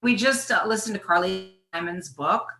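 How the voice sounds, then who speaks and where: A woman's voice: mid-range voice, normal speed, bright, forceful tone. A woman chatting casually as a guest in an interview. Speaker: interview guest, talent manager. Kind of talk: casual chat. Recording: echoey room.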